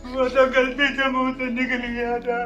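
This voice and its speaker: A man's voice: extremely high pitch, completely flat delivery, unhurried, bright, forceful tone, highs cut off, faint music behind, full character voice. A man acting a greedy, cowardly older father-in-law, apologetic and placating, in a melodramatic short film. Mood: pleading. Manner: wheedling, apologetic.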